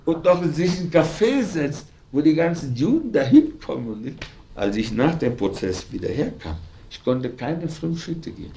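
An older man with a gravelly, dark voice talks in an informal recorded conversation. His pitch swings widely as he boasts in emphatic, repeated fragments. There is faint noise and ambience on the archive recording. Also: sing-song, moderate pace.

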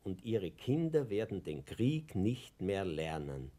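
An old austrian postman in a radio play, lowish voice, humble and stubborn, kinda plaintive. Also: big pitch swings, slow, warm tone, heavily acted. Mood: solemn, slow. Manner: colloquial, deferential, plaintive. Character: humble, emotional, stubborn old postal worker. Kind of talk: German-language radio play acting.

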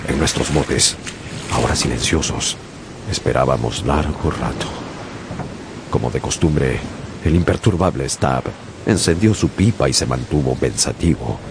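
A man narrating a seafaring story as a sailor in a radio drama, measured and storytelling. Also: rumbling low, creaky, background noise, heavily acted. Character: man, sailor recounting a whale hunt.

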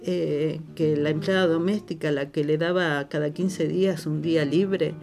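A woman's voice: sits low, fairly even intonation, a little slow, creaky. A woman with an Argentine accent giving her opinion conversationally, thinking aloud as she goes. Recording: plucked guitar music behind voice.

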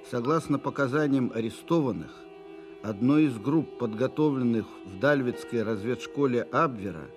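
With music clearly behind, a man plays a stern military officer in a radio play. His medium-pitched voice delivers official dispatches formally, with impersonal precision. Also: lightly acted. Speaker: reader of official documents.

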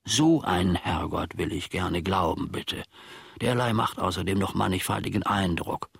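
An actor playing a grumbling, pedantic, world-weary manservant who philosophizes in a rambling, theatrical radio play monologue, with a gravelly, husky voice. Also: male voice, low-pitched, no echo, clean studio sound, full character voice. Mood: musing, wry. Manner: rambling, theatrical, pseudo-learned, halting.

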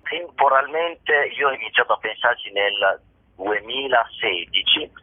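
A man's voice: mid-range voice, expressive, measured pace. A man from Italy giving an informal, spontaneous interview as an actor, hesitating and repeating words as he searches for phrasing, over a narrow phone-like line. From Italy, province of Vicenza. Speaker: actor and playwright being interviewed. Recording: muffled, narrow telephone-line sound.

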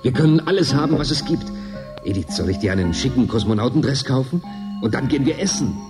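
A man's voice: mid-range voice, lively intonation, fast, over music, close to an everyday voice. An actor playing a lonely, teasing male convict in a German radio drama, talking in an intimate, musing way. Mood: excited, dreaming. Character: Tonio, lonely male station convict, yearning, teasing, bitter.

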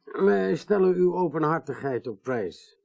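An actor playing a courteous, methodical police detective in a radio drama, asking careful, polite, slightly hesitant questions. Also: male voice, on the high side, lively intonation, unhurried, vocal fry, darkish tone. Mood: polite, probing. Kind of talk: naturalistic 1980s radio drama acting.

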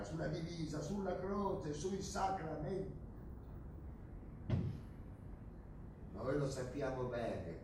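A man on stage plays a menacing military officer of a dictatorship, boastful and full of scorn. His delivery is declamatory and pompous, at a middling pitch. It reaches the listener from a distance, through heavy echo and clear background noise. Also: natural voice. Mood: haranguing. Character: menacing, boastful Argentine army captain of the dictatorship. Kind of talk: theatrical stage drama acting. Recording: very echoey distant stage recording, noisy.

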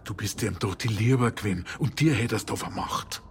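A man's voice: fairly low pitch, a little fast, music in the background, lightly acted. An actor playing a kindly old rural grandfather in a radio play, with a Bavarian accent. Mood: gentle, reassuring. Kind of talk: German radio play acting.

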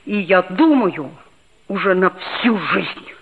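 A woman's voice: lowish voice, expressive, takes it slowly, phone-quality sound, slight background noise. An actress playing an earnest, proud seventh-grade schoolboy who narrates his own story in a 1960s radio play. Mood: hurt, stubborn. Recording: thin band-limited old radio recording, music behind.

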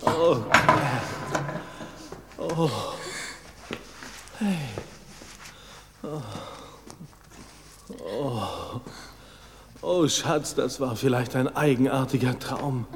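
A man's voice: medium pitch, expressive, drawn-out words, pauses often, fairly bright tone, exaggerated voice. A middle-aged man playing a theatrical, exclamatory father turned ghost in a German audio drama. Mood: dazed, relieved. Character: dead father as giddy, then remorseful ghost. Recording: echoey hall reverb, clear background noise.

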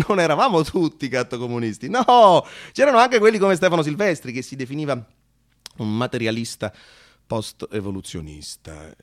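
A man narrates a comic radio monologue in a medium-pitched voice, recorded close and dry. He performs theatrically, with halting repetitions, and slips into bits of acted dialogue. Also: no echo. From Rome, Italy. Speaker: actor and narrator.

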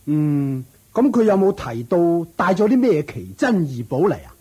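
A man's voice: fairly high pitch, very animated, moderate pace, dark tone, husky, exaggerated voice. An actor playing a greedy, scheming, impatient father and lord in a Cantonese radio drama, exclamatory and wheedling, with a Hong Kong Cantonese accent. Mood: brusque.

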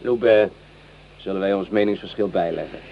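An actor playing a principled, aggrieved young soldier in a Dutch radio play, with a refined, thin voice. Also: male voice, deep voice, normal speed, creaky, slight background noise. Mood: stiff, hurt. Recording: tinny, narrow old radio recording.

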